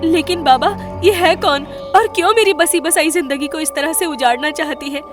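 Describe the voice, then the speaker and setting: Woman as a high-voiced, anxious young wife. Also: normal speed, over music, lightly acted. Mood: worried. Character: frightened, pleading young married woman in peril. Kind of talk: animated horror story acting.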